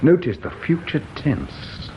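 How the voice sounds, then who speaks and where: An actor playing a shrewd, dry middle-aged English police inspector in a radio drama, speaking in a measured, thoughtful, probing way. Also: male voice, fairly high pitch, wildly swooping pitch, highs cut off, background noise, full character voice. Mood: musing. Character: shrewd, dryly patient male police inspector, musing and probing.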